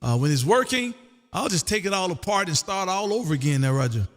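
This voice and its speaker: A loud, folksy man with a slightly high voice, chatting as a ham radio operator. He is exuberant and tends to repeat himself. The sound carries a long, roomy reverb. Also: sing-song, a little fast, over music. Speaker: amateur radio operator hosting a live stream. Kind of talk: casual ham radio chat. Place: home radio room.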